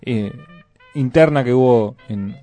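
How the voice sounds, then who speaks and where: A man's voice: medium pitch, slow pace, dark tone. A man makes casual conversation as a radio panelist. Music plays behind his voice. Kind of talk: casual chat.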